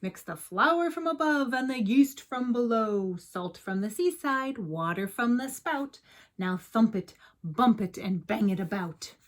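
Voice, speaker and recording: A woman reading the part of an eager village baker in a storybook, emphatic and excited. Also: fairly high pitch, measured pace. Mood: instructing. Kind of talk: storybook character voice.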